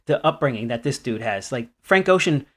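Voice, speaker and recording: A man co-hosting a casual music podcast, talking in a relaxed way that trails off and restarts mid-sentence. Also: medium pitch, brisk. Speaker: podcast co-host.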